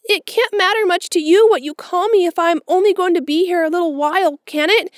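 A woman's voice: extremely high pitch, fairly quick, no room sound, clean studio sound, voice pushed up. A dramatic, tearful little orphan girl. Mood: eager pleading. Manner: breathless, florid, tearful pleading. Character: eager, dramatic orphan girl, about eleven. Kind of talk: audiobook character voice.

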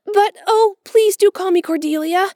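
A woman's voice: very high-pitched, moderate pace, a little mellow, no echo, clean studio sound, pitched above the performer's own voice. A dramatic, tearful little orphan girl. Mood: eager pleading. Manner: breathless, florid, tearful pleading. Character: eager, dramatic orphan girl, about eleven. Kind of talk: audiobook character voice.

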